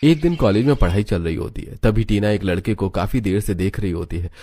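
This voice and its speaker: A man's voice: on the low side, a little fast, mellow. A man narrating a scripted story as its narrator.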